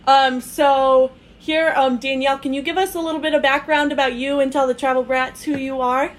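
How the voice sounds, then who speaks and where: A woman's voice: sits high, fairly even intonation, a little fast, a little hiss or hum. A woman in her twenties co-hosting a podcast, enthusiastic and giggly in casual chat. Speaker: podcast co-host.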